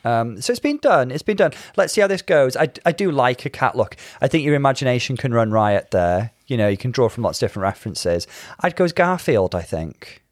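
A man with a British accent giving casual, articulate commentary as a podcast co-host, qualifying his points in a measured way. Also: medium pitch, very animated, no echo, studio quality. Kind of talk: casual TV show recap commentary.

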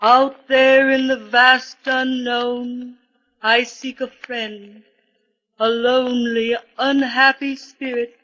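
A woman's voice: on the high side, level tone, takes it slowly, pushed and bright. An actress playing a dramatic, scheming female spirit medium in a theatrical 1940s radio style. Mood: solemn, chanting. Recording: dull 1940s radio recording.

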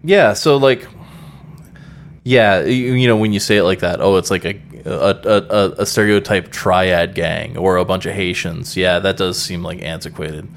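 A man's voice: low-pitched, normal speed, gravelly. A man co-hosting a podcast in casual, rambling chat, full of fillers and casual swearing. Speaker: podcast co-host.

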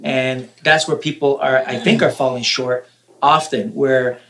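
A man's voice: mid-range voice, fairly even intonation, measured pace, a bit bright, slight background noise. A man from Canada, a podcast guest, talking casually and repeating words as he explains.

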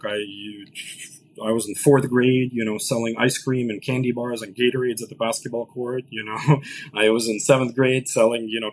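A man's voice: mid-range voice, rough recording. A man in his late twenties from Los Angeles, a founder talking about his business as a podcast guest, with many restarts. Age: late 20s. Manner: fast business talk with many restarts and "right?". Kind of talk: podcast interview.

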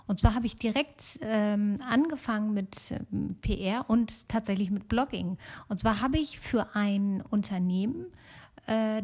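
A woman's voice: mid-range voice, a little mellow. A middle-aged woman from Germany talking casually and alone as a podcast host.

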